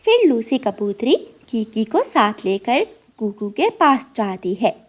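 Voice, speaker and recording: A woman's voice: on the high side, big pitch swings, takes it slowly. A woman reading scripted narration for a children's moral story as the storyteller.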